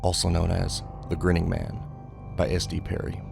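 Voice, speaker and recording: A man's voice: low voice, completely flat delivery, normal speed, creaky, over music. A man narrating a scripted horror short story as an audiobook.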